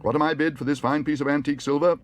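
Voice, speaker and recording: Man acting a loud, high-pitched storybook auctioneer. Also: fairly even intonation, rapid-fire, natural voice. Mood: brisk calling. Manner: calling out bids in a showy chant. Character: brisk male auctioneer, loud, formal and pressing.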